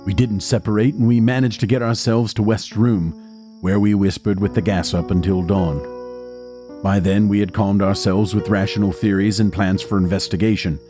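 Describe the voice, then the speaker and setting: An actor playing a somber narrator who recounts his past in a measured, literary horror audio drama. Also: on the low side, steady tone, natural voice. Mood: grave, reflective. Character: somber male narrator recalling his gruesome experiments. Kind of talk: scripted first-person horror narration. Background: music.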